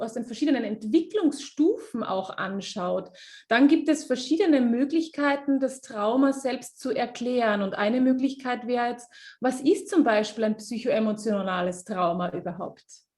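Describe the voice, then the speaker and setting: A woman with a fairly high voice hosts an online webinar and interprets a guest's talk. She speaks quickly, with plenty of fillers and restarts. Her voice comes over a video call. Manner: quick, lively, many fillers and self-corrections.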